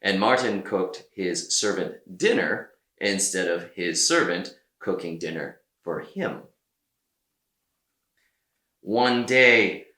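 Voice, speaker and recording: A man reading a children's story aloud as an expressive storyteller, with dramatic pauses. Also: on the low side, roomy sound. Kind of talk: animated children's read-aloud narration.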